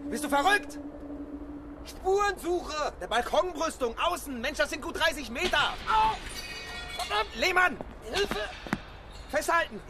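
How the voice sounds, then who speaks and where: A man's voice: very high-pitched, animated, measured pace, long pauses, music in the background, heavily acted. An actor playing a man in panic as a police detective in a radio crime drama. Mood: panicked. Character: panicked detective dangling from a high balcony.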